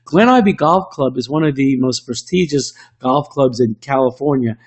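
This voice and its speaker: A man with a slightly rough voice from illness, presenting an online webinar into a computer mic in a salesy way with frequent restarts. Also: mid-range voice, moderate pace. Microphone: computer microphone.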